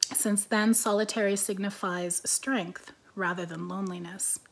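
A woman in her forties, whose first language is French, introduces and reads her own lyric essays in a calm, measured voice from her living room, her phrase ends trailing off quietly. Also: medium pitch, a little hiss or hum. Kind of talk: reading lyric essays aloud. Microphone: laptop camera, webcam framing.

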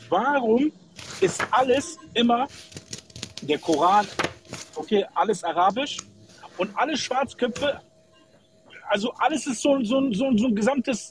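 A man's voice: very high voice, noisy background. A man from Kosovo calling into a livestream, chatting in an opinionated, colloquial way and repeating himself for emphasis. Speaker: live call-in guest. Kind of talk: casual opinionated chat.

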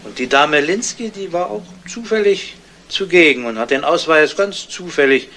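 A man playing a dry, sceptical senior detective who questions in a probing, measured way, in 1970s radio crime drama style. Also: fairly high pitch, expressive, bright, forceful tone. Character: dry, sceptical male police detective in charge. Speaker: actor. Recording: noisy old 1970s radio play recording.

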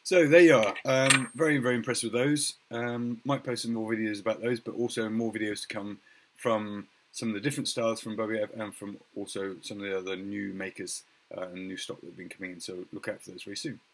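Middle-aged male shop owner, croaky voice, low-ish pitch, easygoing halting delivery, home webcam demo. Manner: relaxed, hesitant with many ums and uhs. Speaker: music shop owner showcasing instruments. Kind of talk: casual product demo video. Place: home music room indoors.

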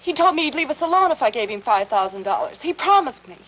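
High-voiced, anxious young American wife. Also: female voice, big pitch swings, quick pace, fairly bright tone, muffled recording. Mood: distraught. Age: young adult. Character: anxious, long-frightened young wife, pleading, earnest. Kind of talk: 1950s TV drama acting.

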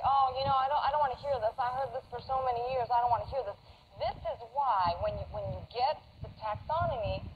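High-voiced woman preaching over shortwave radio. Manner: emphatic, repeats phrases for stress. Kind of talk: sermon and Bible teaching. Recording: dull shortwave radio broadcast with static.

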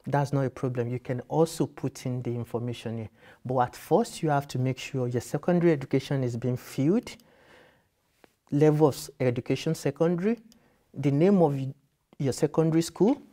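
A man's voice: medium pitch, fairly quick, warm tone. A man in his thirties whose first language is Yoruba, guiding applicants through a form in a patient, explanatory way and rephrasing as he goes. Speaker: university admissions guide. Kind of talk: screen-share tutorial walkthrough. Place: office with computers, indoors.

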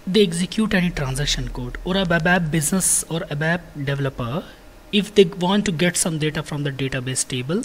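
A software trainer giving an informal technical lecture, explaining in a halting, repetitive way with frequent check words. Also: medium pitch, slight background noise.

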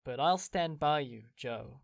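A cheerful young gentleman. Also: medium pitch, lively intonation, a little slow, creaky, no echo, studio quality.

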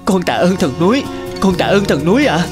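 An actor playing a poor, humble young suitor in an animated folk tale, respectful and earnest. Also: male voice, high voice, fairly quick, over music. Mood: grateful. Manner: respectful, pleading, gentle.

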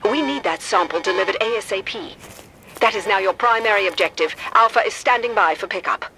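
A woman playing a cool, businesslike military operative in a video game, crisp and commanding. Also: mid-range voice, animated, a little fast, pushed and bright, soft background music, poor audio. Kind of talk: video game cutscene acting.

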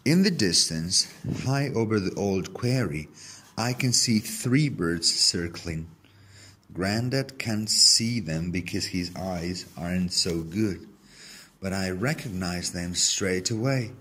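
Low-voiced man reading a story expressively. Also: expressive, takes it slowly, a bit bright, breathy edge. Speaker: story reader. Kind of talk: expressive read-aloud of a children's story, told in first person.

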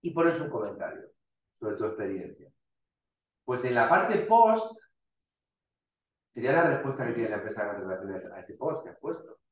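A man's voice: mid-range voice, big pitch swings, moderate pace, pauses often. A male university lecturer, explaining slides informally with everyday examples and frequent restarts. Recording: dull, roomy lecture recording.

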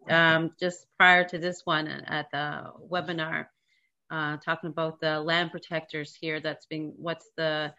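A woman with a cold who is losing her voice speaks haltingly as a panel speaker on a video call. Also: low-pitched, pushed and bright. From Canada. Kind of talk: webinar talk. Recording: dull lo-fi video call audio.

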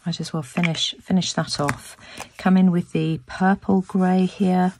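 A woman with a croaky voice talking through a craft demonstration at her table, thinking aloud as she works and often repeating words. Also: on the low side, fairly even intonation, unhurried, darkish tone. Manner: chatty, thinking aloud with restarts. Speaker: craft video host. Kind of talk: casual craft tutorial narration. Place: home craft room, tabletop.

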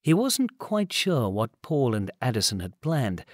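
A man narrates a story as an audiobook reader. His voice sits at a medium pitch and is recorded dry, with no room sound. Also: sing-song, a little slow, no echo.

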